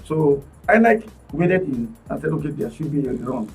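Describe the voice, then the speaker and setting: A man with a Nigerian accent, arguing politics on a radio talk panel in an animated, emphatic way, often restarting his words. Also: on the high side, moderate pace, vocal fry, far from the mic. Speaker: political commentator guest. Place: radio studio.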